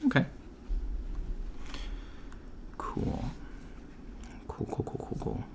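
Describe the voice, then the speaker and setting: A man playing an easygoing, reassuring alien job interviewer in a soft-spoken ASMR roleplay, talking casually and haltingly and trailing off. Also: close to an everyday voice. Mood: relaxed, hesitant. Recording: lo-fi with clear background noise. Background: computer keyboard typing.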